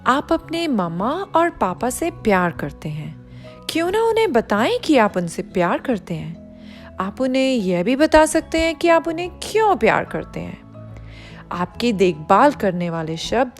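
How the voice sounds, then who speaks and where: A woman reading a picture book aloud to children, explaining as she goes and speaking straight to the young listener. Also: fairly high pitch. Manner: explanatory, addresses the child listener directly, glosses words. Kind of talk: expressive read-aloud narration for children.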